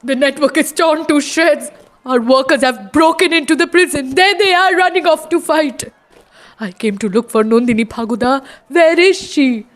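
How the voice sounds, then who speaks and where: A woman's voice: high voice, normal speed, close to an everyday voice. A woman playing a devoted, wistful rebel singer in a theatrical audio drama. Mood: urgent. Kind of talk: theatrical stage-play acting in an audio drama.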